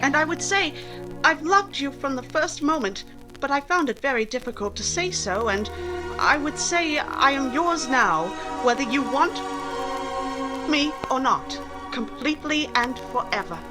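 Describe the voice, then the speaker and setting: Young romantic heroine of a radio play, played by a woman, high and earnest, music behind. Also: animated, a little slow. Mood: loving, earnest. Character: earnest, loving young English gentlewoman in love. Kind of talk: 1940s radio romance acting.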